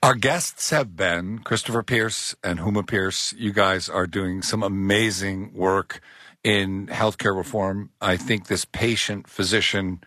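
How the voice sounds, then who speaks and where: A man's voice: on the low side, sharp tone, dry recording. A man hosting a talk radio show from a studio, speaking earnestly and conversationally with some fillers.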